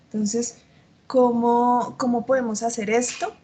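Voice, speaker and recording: A woman's voice: a little high, little pitch movement, measured pace, mellow, a little hiss or hum. A woman chemistry tutor, explaining patiently in an online class despite a slight cough and sore throat. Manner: patient, explanatory, frequent filler sounds. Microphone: computer mic on a video call.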